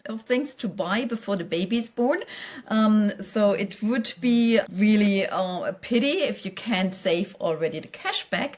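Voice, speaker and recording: Woman ceo on a podcast, english isnt her first language, mid pitched, explaining stuff conversationally. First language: not English. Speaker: company chief executive, podcast guest. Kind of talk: conversational interview answers.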